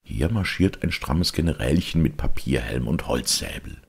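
A middle-aged man reading a children's story aloud as an expressive audiobook narrator. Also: very deep voice, moderate pace. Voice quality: gravelly, husky.

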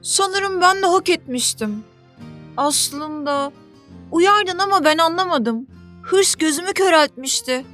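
A plucky young cartoon sea creature, sounds very high and sharp-bright. The youngster is proud yet good-hearted and talks earnestly, with music playing softly behind. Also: female voice, animated, slow pace, exaggerated voice. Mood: sheepish, weary. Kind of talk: children's cartoon dubbing. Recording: music bed under the voice.

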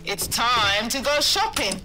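A sardonic weapons dealer barks at soldiers to come buy gear. Her voice is lively and a touch high. It comes at an unhurried pace, with music swelling underneath. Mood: dry, commanding. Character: brisk female weapons trader, sardonic, urging soldiers. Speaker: in-game shop trader.

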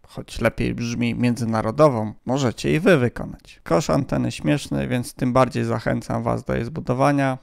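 Gravelly man narrating. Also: mid-range voice, no echo, studio quality. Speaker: amateur radio vlogger. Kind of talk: scripted narration.

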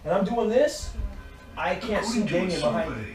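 A man's voice: high voice, lively intonation, normal speed, over music. A man teaching martial arts in a casual, loose way, talking through moves with little sound effects as he demonstrates. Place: large indoor training room with mats. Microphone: fixed camera high in a corner, far from the speaker. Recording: echoey room, voice from far off.

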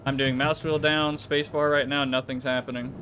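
A man's voice: mid-range voice, little pitch movement, normal speed, background noise. A man chatting casually while streaming a game, joking and ranting in a playful mock tone. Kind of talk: casual gaming stream chat.

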